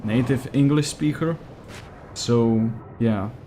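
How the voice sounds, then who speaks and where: Mellow-voiced man with a Czech accent. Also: mid-range voice, slight background noise. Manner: hesitant, pauses to search for English words. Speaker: game streamer. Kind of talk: casual live gameplay stream, answering chat.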